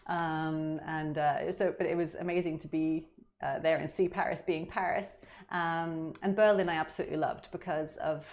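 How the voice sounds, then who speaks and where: A woman's voice: deep voice, fairly even intonation, moderate pace, darkish tone. A middle-aged woman, a diplomat, chatting as an interview guest in a friendly, easygoing way with small laughs and restarts. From United Kingdom. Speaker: guest, deputy ambassador. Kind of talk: casual interview conversation.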